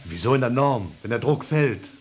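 A man's voice: mid-range voice, animated, normal speed, natural voice. An actor playing an anxious space crewman in a radio play, pressing urgent questions in an agitated way. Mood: alarmed. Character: anxious male space crewman short of air.

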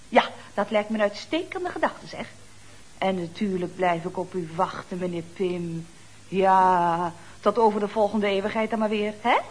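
A woman's voice: mid-range voice, slightly rough. A woman playing a chatty, ironic secretary at a building firm in a Dutch radio comedy serial, speaking with arch, mock-polite expressiveness. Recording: noisy old radio recording.

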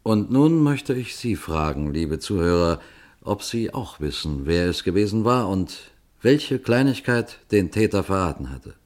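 An actor playing a calm older police detective who narrates a radio play and speaks straight to the listeners in a measured way. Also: male voice, deep voice. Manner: measured, addresses listeners directly.

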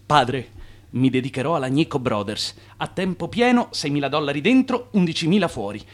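An actor playing an ambitious, boastful immigrant schemer in radio theatre, with an emphatic, self-assured delivery. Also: male voice, on the high side, very animated, brisk. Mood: resolute.

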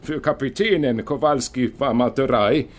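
An actor playing an evasive, stubborn ship's first officer with a Scandinavian accent and clipped, broken grammar in a radio drama. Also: male voice, medium pitch, normal speed, natural voice. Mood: evasive, defensive.

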